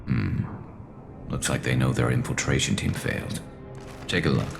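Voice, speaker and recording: A man playing a weary, wry alien vigilante sniper in a video game, speaking in a dry, laconic, gravelly way. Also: expressive, normal speed, exaggerated voice. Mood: calm, tactical. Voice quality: gravelly, low. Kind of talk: video game cutscene acting. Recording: music behind the voice.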